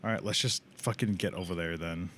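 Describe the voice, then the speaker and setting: A man's voice: low-pitched, fairly even intonation, fairly quick, a bit bright, slight background noise. A man casually commentating his gameplay with slangy swearing and an impatient, exasperated manner. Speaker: live streamer playing a game. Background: video game music.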